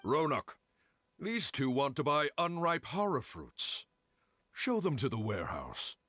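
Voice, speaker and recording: A man playing a shady, businesslike market trader in a video game, with a husky voice. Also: medium pitch, big pitch swings, measured pace, a bit bright, slight background noise. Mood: guarded, businesslike.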